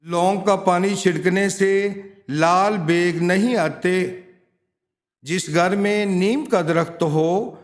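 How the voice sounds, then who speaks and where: A man reading scripted tips and sayings as a voice-over narrator, in a measured, instructional way. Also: high-pitched. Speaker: narrator of a home remedies and quotes channel.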